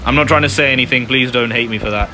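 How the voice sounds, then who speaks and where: A man's voice: mid-range voice, racing, a little hiss or hum. A man giving casual, self-deprecating and apologetic commentary while playing a video game. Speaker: gamer narrating a playthrough. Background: loud video game music.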